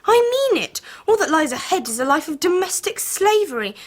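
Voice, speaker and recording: Earnest Victorian girl of twelve, English, polite but defiant, high animated voice, radio play. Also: normal speed. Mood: passionate. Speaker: actor in a radio drama. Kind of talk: 1990s British radio drama acting.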